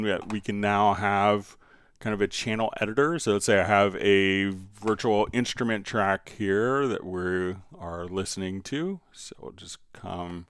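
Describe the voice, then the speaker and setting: A man hosting a live stream, talking viewers through software in a casual, conversational, explanatory way. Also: fairly low pitch, clean tone. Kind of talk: casual live tutorial and chat.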